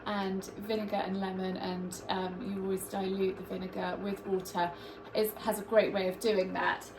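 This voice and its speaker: A woman's voice: mid-range voice, flat delivery, normal speed, reverberant, background noise. A woman in her thirties, congested and bunged up, chatting casually to camera in her home kitchen as she shows a cleaning tip. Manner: chatty, homely, friendly asides. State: congested, bunged up from hay fever. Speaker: home tips vlogger. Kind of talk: casual how-to chat to camera.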